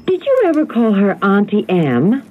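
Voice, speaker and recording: An actress playing an eager, chatty, polite wife in a radio drama, with an excitable and expressive manner. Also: female voice, medium pitch, normal speed. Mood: curious. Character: eager, chatty wife who adores antiques. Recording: tinny, noisy old radio broadcast.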